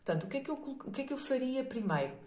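A hoarse middle-aged woman with a European Portuguese accent, teaching a maths lesson patiently, checking in often with "ok?" and many fillers. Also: high-pitched, big pitch swings, very fast, darkish tone, reverberant. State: hoarse from a cold.

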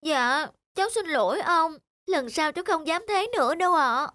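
A woman voicing a young bear cub in a children's cartoon, childlike, expressive and earnest. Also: very high voice, moderate pace, no room sound, heavily acted. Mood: pleading. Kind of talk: Vietnamese children's cartoon dubbing.

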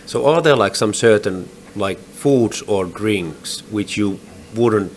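A man interviewing a singer, asking his questions in a halting way full of hedges. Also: fairly low pitch, slight background noise. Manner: halting, hedging questions with many "like"s.

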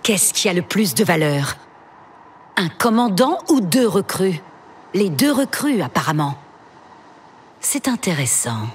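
An actress playing a composed warrior archer who speaks calmly and coolly. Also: female voice, on the low side, a little slow, pauses often, slightly rough, full character voice. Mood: cold. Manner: calm, unshakeable, clipped. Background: music.

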